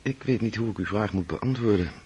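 An actor playing a thoughtful, kindly family friend in a radio play, speaking gently and probingly. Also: male voice, fairly low pitch, a little fast, husky, lo-fi sound.

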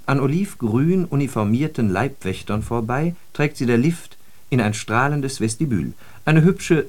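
A man's voice: mid-range voice, close to an everyday voice. A man acting in a radio drama as a worldly, ironic storyteller, speaking with theatrical emphasis. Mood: ironic, urbane. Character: worldly man telling ironic travel parables of New York. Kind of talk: scripted German radio drama monologue.

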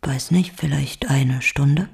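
An actress playing a subdued, evasive mother under police questioning, quiet, halting and terse, in a naturalistic radio drama. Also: very deep voice, full character voice. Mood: defensive. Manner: quiet, halting, terse, often breathy near-whisper.

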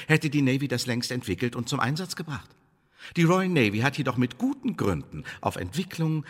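An actor in a German radio drama playing a coldly menacing secret service interrogator, precise, formal and condescending. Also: male voice, mid-range voice, a bit bright. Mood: dry, dismissive. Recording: echoey hall, clear background noise.

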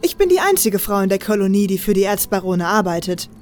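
A woman's voice: medium pitch, very animated, fast, a little mellow, soft background music, close to an everyday voice. A woman playing a confident, teasing female assassin with a mocking manner in an audio drama. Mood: confident, matter-of-fact. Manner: mocking, playful, self-assured. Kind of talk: German fan audio drama acting.

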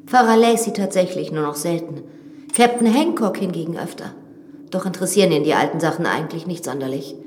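Actress as a frank, low-voiced young widow. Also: female voice, brisk, a little mellow, slight background noise, lightly acted. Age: young adult. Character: frank, self-assured 27-year-old widowed lady of a manor. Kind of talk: radio drama acting. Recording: studio drama with reverb and music.

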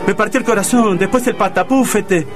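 A self-pitying older tango lover from a radio comedy, acted by a man, speaking in a high, plaintive voice with a Buenos Aires accent. Every line is overwrought and melodramatic, with music playing behind. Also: fairly quick, natural voice. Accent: Buenos Aires Argentine. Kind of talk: comic radio play acting.

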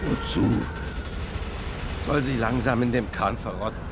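An actor playing a hard-bitten, commanding submarine captain in a radio drama, brusque and curt, over loud sea noise. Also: male voice, medium pitch, unhurried, close to an everyday voice. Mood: cold, resigned.

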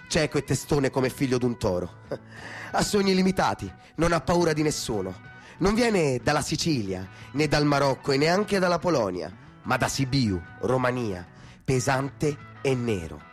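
A man reciting poetic, scripted narration for a radio play in a theatrical style, with music behind him. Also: medium pitch.

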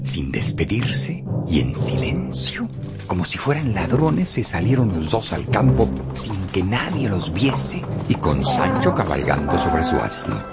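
A man's voice: low voice, very animated, a little slow. A man narrating a scripted radio drama in a theatrical, emphatic storytelling style. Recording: loud music bed under narration.

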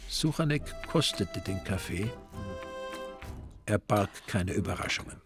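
A man narrating a crime story in scripted literary prose with dry, deadpan irony. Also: on the low side, unhurried. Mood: deadpan, wry. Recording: music behind the voice.

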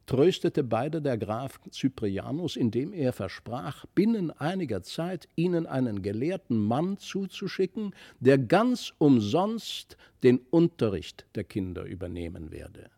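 A man's voice: mid-range voice, measured pace, vocal fry, few pauses, dry recording. Male storyteller, very dry close recording. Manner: animated storyteller who voices the characters. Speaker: audiobook narrator. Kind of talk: expressive scripted literary narration of a fairy tale.

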